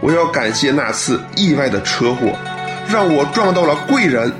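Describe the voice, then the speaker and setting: A man's voice: medium pitch, big pitch swings, normal speed, over music, close to an everyday voice. A man acting in a radio play as a grateful, earnest son telling of his mother's recovery. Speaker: radio drama actor.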